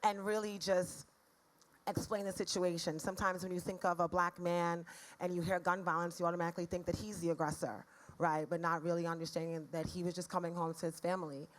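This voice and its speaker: A raspy-voiced woman from the Caribbean, telling a personal story as a panelist in an earnest, frank way. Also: fairly low pitch, flat delivery, brisk. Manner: earnest, frank, speaks of faith.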